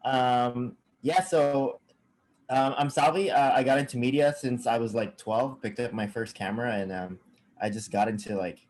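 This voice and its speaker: A young man from Saipan, a cameraman, talking casually as a guest on a video call, halting with many fillers and restarts. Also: medium pitch, steady tone, a little mellow.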